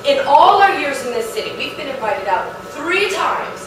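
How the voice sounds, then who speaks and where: A woman's voice: high voice, sing-song, normal speed. A woman acting a dreamy, affected undertaker's wife in a staged play reading, savouring each phrase theatrically. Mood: dramatic, aggrieved. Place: live reading in an echoey performance room. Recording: roomy, distant, noisy live theatre recording.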